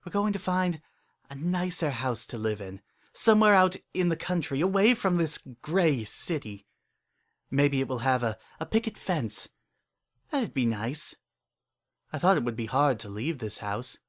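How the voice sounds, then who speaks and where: A man's voice: fairly high pitch, sing-song, measured pace. A man playing an unhinged, paranoid male narrator in a horror fan fiction, speaking earnestly in childlike phrases. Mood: hopeful, wistful. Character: unhinged, obsessive, paranoid male narrator clinging to his pet. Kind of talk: first-person dramatic horror fan fiction reading.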